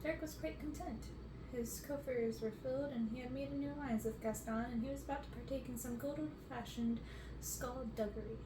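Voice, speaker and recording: High-voiced young woman reading, roomy sound. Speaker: ASMR reader. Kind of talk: reading a storybook aloud. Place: bedroom, on a bed. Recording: roomy, noisy, distant mic.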